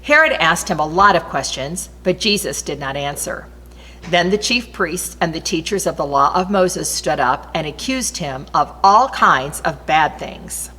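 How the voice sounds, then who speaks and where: A woman reading aloud from the Bible as an expressive scripture narrator. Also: deep voice, unhurried, a little hiss or hum. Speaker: scripture reader. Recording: long reverberant hall echo.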